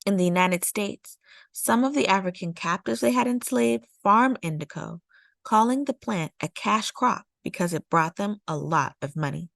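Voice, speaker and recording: A woman narrating a children's nonfiction picture book as a storytime reader, with measured, deliberate phrasing. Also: medium pitch, creaky, a bit bright, no echo, clean studio sound. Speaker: storytime read-aloud host.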